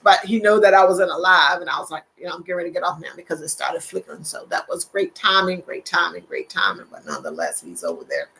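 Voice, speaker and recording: Older female host, gravelly voice, chatty and quick to laugh. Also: lowish voice, lively intonation, fairly bright tone, rough recording. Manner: chatty, laughs often, repeats phrases. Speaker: livestream host. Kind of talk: casual live chat reading viewer comments.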